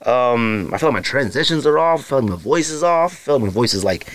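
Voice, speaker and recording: A man in his thirties with a naturally deep voice, hosting a podcast in loose, slangy casual chat full of fillers and asides to his listeners. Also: expressive, fast, no room sound. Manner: feels hot and uncomfortable while recording. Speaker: podcast host. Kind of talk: casual solo podcast rambling.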